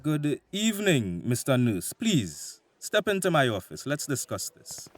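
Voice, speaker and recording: A man's voice: medium pitch, wildly swooping pitch, unhurried, a little hiss or hum. A man playing a polite, harried doctor with a formal, imploring manner in a radio drama. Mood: polite, grave. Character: polite, harried doctor trying to keep the peace.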